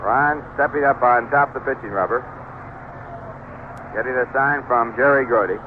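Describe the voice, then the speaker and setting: A man's voice: mid-range voice, normal speed. A man calling live baseball play-by-play as an announcer on a 1970s radio broadcast. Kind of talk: live radio sports commentary. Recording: noisy narrow-band 1970s AM radio broadcast.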